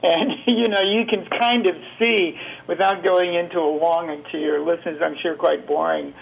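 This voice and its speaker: A middle-aged man giving reflective interview answers in a halting, rambling, self-deprecating way. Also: fairly high pitch, fairly even intonation, gravelly, highs cut off, slight background noise. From grew up in Geneva, Switzerland. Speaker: interview guest, documentary filmmaker.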